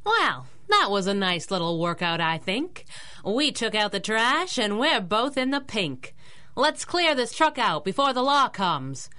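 Bright, lively masked heroine. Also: female voice, medium pitch, a little slow. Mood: breezy. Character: confident playful young female masked crimefighter. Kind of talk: 1930s radio drama acting.

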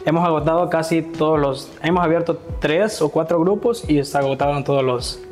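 A man's voice: fairly high pitch, brisk. Eager young Salvadoran man. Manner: eager, promotional, many fillers and restarts. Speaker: language school representative. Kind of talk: casual interview. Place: small classroom, indoors. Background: soft background music.

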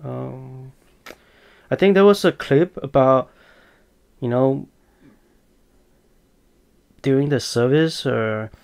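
A man, answering interview questions in a thoughtful, halting, earnest way. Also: mid-range voice, vocal fry, darkish tone. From Malaysia. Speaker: podcast guest. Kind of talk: casual interview.

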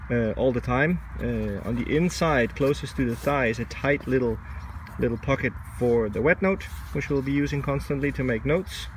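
A man in his forties talks into a phone camera held at arm's length outdoors, his voice at a middle pitch. He speaks haltingly, fills gaps with "um", and stops to find his words. Faint outdoor noise sits behind him. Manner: hesitant, many fillers, searches for words. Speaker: dive instructor vlogger. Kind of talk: casual selfie vlog. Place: outdoors, covered shelter and lawn.

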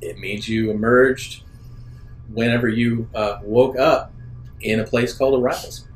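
A man's voice: mid-range voice, steady tone, measured pace. A man acting as game master, improvising narration and fielding players' questions at a tabletop game. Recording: noisy room ambience.

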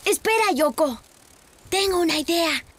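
Gentle little rabbit boy. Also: extremely high pitch, animated, measured pace, background noise. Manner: patient, explaining step by step. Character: kind little boy rabbit who draws. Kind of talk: Spanish cartoon dub. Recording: cartoon music underneath.

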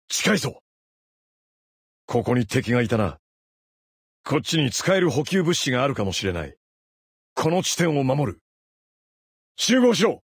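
A man voicing a terse soldier in a combat video game, giving short, clipped, commanding lines with a raspy voice. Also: a little high, no room sound, full character voice. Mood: firm, composed. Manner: clipped, commanding military delivery. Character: male soldier operator, terse, commanding, battle-focused.

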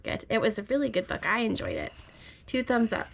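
A woman speaks in a gentle, child-directed way, like a parent at bedtime. Her voice sits a little high and often breaks into creak. It is a home recording with some faint noise behind it. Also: huge pitch swings, brisk. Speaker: parent reading aloud. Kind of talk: bedtime storybook read-aloud. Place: bedroom at home.

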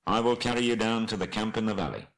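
A man's voice: fairly low pitch, quick pace, a bit bright, pitched above the performer's own voice, poor audio. A man voicing a sturdy, helpful man in a storybook, speaking earnestly and formally. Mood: earnest, concerned.

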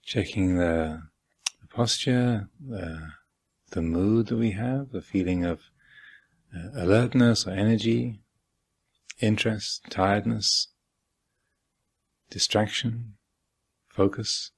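A man with a husky voice guiding a meditation as a teacher, speaking calmly and deliberately with long pauses. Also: on the low side. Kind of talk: guided meditation instruction. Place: meditation hall at a retreat.

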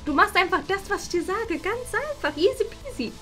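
Eine Frau spielt in einem Schulsketch eine faule, zickige Teenager-Schülerin, die lässig im Jugendslang herumkommandiert. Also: female voice, very high voice, animated, fairly quick, a bit bright, faint music behind. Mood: bossy, smug. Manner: slangy, dismissive, bossy. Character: bratty, lazy, bossy teenage schoolgirl with slangy cool pose. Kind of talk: improvised school sketch acting.

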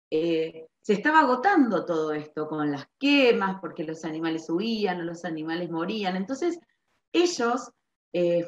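A middle-aged woman with an Argentine accent from around Rosario, informally explaining a school project as a librarian, with hesitant pauses and stretched words. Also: mid-range voice. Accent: Argentine, Rosario area. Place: small bare-walled room indoors. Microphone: computer microphone on a video call. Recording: dull, echoey webcam audio.

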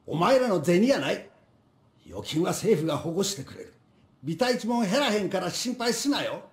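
An older actor playing a stern yakuza-style bank robber in a game, curt and commanding, with a Kansai accent. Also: male voice, high-pitched, sing-song, fast. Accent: Kansai Japanese. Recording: roomy echo.

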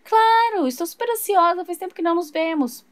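A woman voicing a cheerful young female friend doll who answers the phone with high, gushing excitement. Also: a little fast. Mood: eager.

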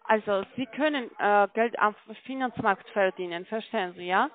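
A non-native German-speaking woman with a foreign accent giving a telephone sales pitch as a call-centre agent, often checking in as she goes. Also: normal speed. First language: not German. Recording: muffled, tinny phone line.

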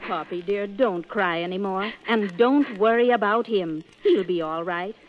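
A woman's voice: medium pitch, a little slow, faint music behind, lightly acted. An actress playing a kindly, wry, motherly older aunt in an old radio play. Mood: comforting, brisk. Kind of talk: 1930s radio acting. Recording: dull vintage radio recording.

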